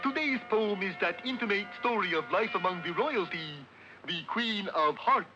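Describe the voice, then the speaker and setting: Goofy, high-voiced cartoon moose. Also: male voice, expressive, normal speed, over music. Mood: declaiming. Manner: goofy, earnest, declaiming. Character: big dim-witted good-natured male cartoon moose. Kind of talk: 1960s cartoon voice acting. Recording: dull lo-fi noisy old cartoon soundtrack.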